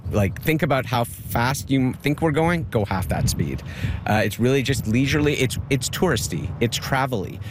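A man who teaches fitness and co-runs group bike rides, talking in a relaxed, easygoing way during an outdoor interview. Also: medium pitch, normal speed, noisy background. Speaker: fitness instructor and ride co-organizer. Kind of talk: casual interview.

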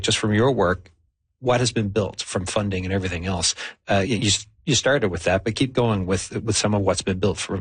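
Low husky man hosting an interview. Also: no room sound. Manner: rambling, restarts questions, jokey. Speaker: interview host. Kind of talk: casual interview chat.

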